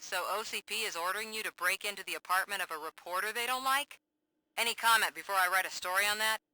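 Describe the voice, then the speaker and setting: An actress playing a defiant, distrustful investigative reporter in a video game, speaking in a pointed, challenging way. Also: female voice, mid-range voice, fast talker, sharp tone, dry recording, low-quality recording. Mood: confrontational. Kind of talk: video game cutscene acting.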